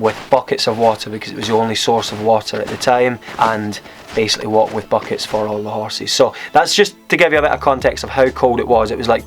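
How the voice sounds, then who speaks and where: Young Scottish man telling a story. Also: fairly low pitch. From Scotland. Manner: rambling, candid, many fillers and restarts. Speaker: vlogger. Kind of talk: casual storytelling to camera.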